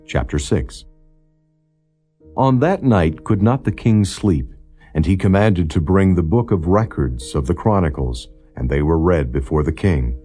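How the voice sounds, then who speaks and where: A man narrating scripture as an audiobook in a solemn, measured, formal storytelling delivery. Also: low voice, animated, warm tone, husky, faint music behind. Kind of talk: solemn scripture reading, non-dramatized.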